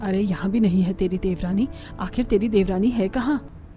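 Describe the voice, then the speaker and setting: A woman's voice: medium pitch, moderate pace, mellow, lightly acted. Woman acting a nagging, exasperated Indian mother-in-law, music behind. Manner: nagging, exclamatory. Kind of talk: Hindi animated family story acting.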